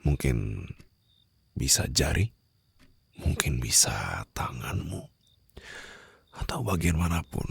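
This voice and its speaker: A man playing a menacing, condescending mafia boss in an ASMR roleplay, with a gravelly, breathy voice that often drops toward a whisper. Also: very deep voice, sing-song, no room sound, heavily acted. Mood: menacing, low. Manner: slow, taunting, controlled threats. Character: menacing, condescending young male mafia boss holding a captive.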